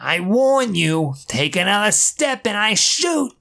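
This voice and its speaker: A man voicing an indignant male butler who panics easily, talking in a shrill, flustered, shouting way. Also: sing-song, takes it slowly, gravelly, very noisy, exaggerated voice. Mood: panicked.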